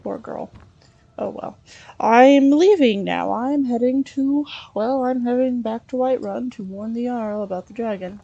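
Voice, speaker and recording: A woman narrating a video game in character as an adventuring scholar, halting and thinking aloud as she goes. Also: a little high, gravelly, mellow, muffled recording. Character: chatty Breton scholar woman, treasure-hunting adventurer. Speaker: let's play host role-playing a character.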